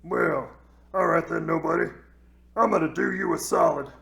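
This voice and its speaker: A man voicing a dim, boastful, tipsy Cyclops monster in a slow, self-satisfied way, for a comic story skit. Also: fairly high pitch, gravelly, fairly bright tone, voice pushed up. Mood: gloating. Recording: roomy echo.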